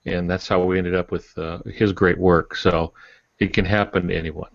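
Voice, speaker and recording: A man's voice: sits low, level tone, moderate pace, vocal fry, no room sound. An older man co-hosting a podcast, giving casual advice in a wry, folksy way. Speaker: podcast co-host. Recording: dull, dry lo-fi audio.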